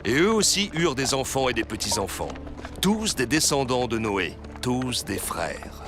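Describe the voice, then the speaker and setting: Guy narrating a bible story, takes his time, really animated pitch, faint music. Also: measured pace. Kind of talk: scripted biblical story narration.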